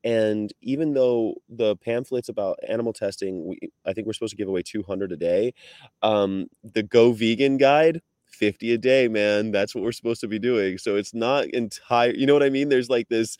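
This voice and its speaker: Chill activist guy, slangy and easygoing, lowish voice, dry sound. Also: a little mellow, no echo. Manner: laid-back slangy talk. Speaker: touring animal rights activist. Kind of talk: casual conversation.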